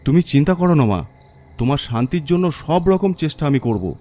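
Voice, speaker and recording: A man's voice: on the high side, very animated, brisk, dark tone, a little hiss or hum, voice pushed up. A man voicing a kindly, brave older village watchman in a horror cartoon, earnest and fatherly. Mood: reassuring. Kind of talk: horror cartoon story acting.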